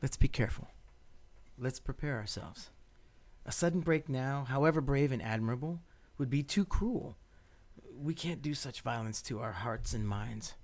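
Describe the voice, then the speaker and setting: A lovestruck doctor in a radio romance, played by a male actor. He is earnest and tender, pressing his case with pleading persistence, in a mid-pitched voice. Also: moderate pace, a little mellow, lightly acted. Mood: tender, sorrowful. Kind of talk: radio play acting.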